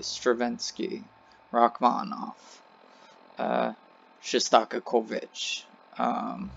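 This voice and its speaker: A man's voice: medium pitch, vocal fry, fairly bright tone, dull audio. A young man casually commenting while he plays a game, rambling and hesitant. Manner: rambling, hesitant, many fillers. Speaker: gaming video host. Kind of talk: casual let's play commentary. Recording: voice over game music.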